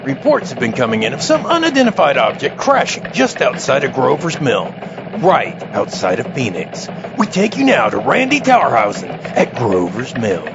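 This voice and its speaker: A man's voice: medium pitch, huge pitch swings, moderate pace, gravelly, background noise. A man playing an earnest, formal local TV news anchor in a comedy radio bulletin. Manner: formal, questioning anchor delivery. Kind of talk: mock special news bulletin. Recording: dull lo-fi audio with music behind.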